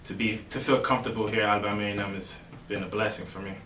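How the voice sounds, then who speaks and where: A young man from Miami, a college baseball player, answering interview questions in a relaxed way with lots of "you know". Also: fairly low pitch, rapid-fire. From Miami, Florida. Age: young adult. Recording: roomy, distant mic with faint noise.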